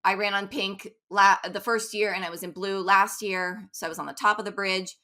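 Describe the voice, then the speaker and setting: Woman, running coach, casual chat, upbeat, bright tone. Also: mid-range voice, steady tone. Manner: chatty, upbeat, quick asides with laughs.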